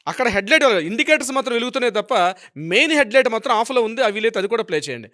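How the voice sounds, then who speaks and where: Telugu male advocate, high-pitched and rapid, emphatic explainer, dry sound. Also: animated, sharp tone, no room sound. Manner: emphatic, repeats himself and poses rhetorical questions. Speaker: advocate commenting as a legal expert. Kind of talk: explanatory legal news commentary.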